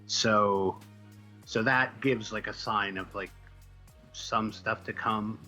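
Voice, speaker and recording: A man speaking as a guest writer in a casual interview, answering in a hesitant, halting way with trailing asides. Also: fairly low pitch, steady tone, sharp tone, soft background music. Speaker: comic book writer, guest.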